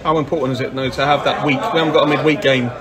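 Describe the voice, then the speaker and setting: A man's voice: medium pitch, fairly even intonation, racing. Male football interviewer, crowd behind. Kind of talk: post-match interview questions.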